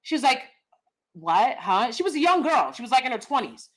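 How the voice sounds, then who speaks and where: A young adult woman hosting a casual commentary vlog, loud and blunt, cursing freely. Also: a little high, sing-song, moderate pace. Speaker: vlog host.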